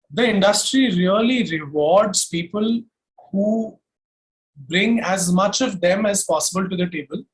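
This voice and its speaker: Man, Indian accent, high voice, emphatic, methodical explainer, conversational interview delivery. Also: rough recording. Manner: emphatic, self-assured, methodical point-by-point explaining. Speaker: singer-songwriter and music producer guest.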